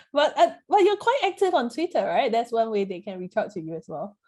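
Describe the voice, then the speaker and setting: A woman with a fairly high, soft-edged and very mellow voice hosts an event. She chats casually, her sentences wandering informally with plenty of "you know" and "like". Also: expressive, moderate pace. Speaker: host, moderator. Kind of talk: casual, chatty event hosting.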